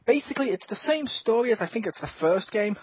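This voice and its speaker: A man hosting a casual gaming podcast. He talks in an animated way, swears a lot and acts out his own reactions. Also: high voice, quick pace, breathy edge, no echo. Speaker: podcast host. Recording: dull lo-fi podcast audio.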